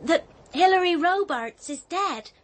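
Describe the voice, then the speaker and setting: An actress in a radio drama playing an anxious, dutiful young daughter, earnest and hesitant. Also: female voice, very high-pitched, animated, measured pace, slight background noise.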